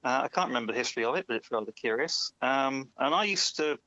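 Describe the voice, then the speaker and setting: A man calling in to a chat show, telling easygoing stories with slow fillers over a dull call line. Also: mid-range voice, expressive, bright, forceful tone, no echo. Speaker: caller. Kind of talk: casual chat.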